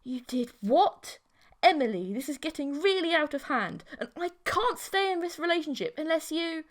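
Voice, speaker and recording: Audiobook role: earnest, put-upon young boyfriend, played by a woman, highish pitch, protesting yet pliable. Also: very animated, moderate pace. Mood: exasperated protest. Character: exasperated boyfriend, earnest, easily swayed young man. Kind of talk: audiobook character voice.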